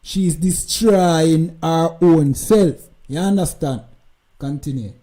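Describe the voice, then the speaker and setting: A man's voice: fairly high pitch, slow pace, dark tone. A Jamaican man hosting a live stream, talking emphatically and repeating "you know what I mean" as he comments.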